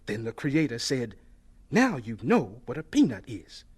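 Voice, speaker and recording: Gentle old scientist for radio drama: breathy, unhurried, halting, reverent and excitable. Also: male voice, medium pitch. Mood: excited, reverent. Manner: halting, stammering, slow and reverent. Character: gentle, devout older male professor, excited inventor.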